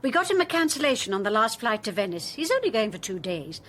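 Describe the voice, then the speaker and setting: An actress playing a brisk, courteous woman at a detective agency in a radio drama, polite and efficient. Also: on the high side, very animated, a bit bright. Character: Miss Birch, brisk, courteous woman office partner.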